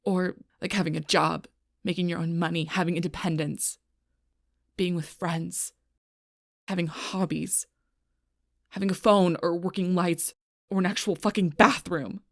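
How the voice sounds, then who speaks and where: A woman playing a gruff, stubborn, protective female alpha werewolf in an intense audio roleplay, blunt and often hushed, with a husky voice. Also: on the low side, fairly even intonation, a little slow, dry recording, studio quality. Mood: frustrated, lecturing. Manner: intense, blunt, swearing, often dropping to whispers.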